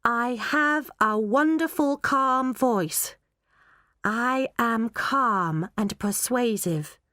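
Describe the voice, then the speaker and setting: A woman voicing a quiet, scheming young orphan girl who speaks slowly and softly. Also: a little high, no room sound, clean studio sound, pitched above the performer's own voice, exaggerated voice. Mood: calm. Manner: slow, soft, hushed.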